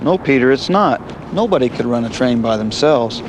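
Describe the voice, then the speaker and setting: A man's voice: medium pitch, animated, normal speed, background noise, close to an everyday voice. A man playing a friendly train engineer with an American accent, patiently explaining things to children in an educational film. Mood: patient, instructive.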